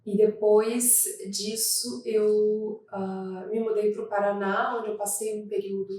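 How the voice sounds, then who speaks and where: A woman prosecutor in her thirties from Brazil, with a Rio de Janeiro accent, speaking in a measured, thoughtful way and sometimes restarting a phrase. Her mid-range voice carries heavy reverb from a bare room. She sits some distance from the microphone. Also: mid-range voice, flat delivery, a little mellow, a little hiss or hum. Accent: Brazilian Portuguese, Rio de Janeiro. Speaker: federal prosecutor. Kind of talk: oral history interview. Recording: echoey room, mic at a distance.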